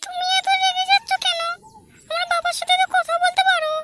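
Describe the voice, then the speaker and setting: A man voicing a young woman in an amateur comedy cartoon, a pleading, nagging girlfriend with a squeaky, whiny voice. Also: little pitch movement, brisk, over loud music.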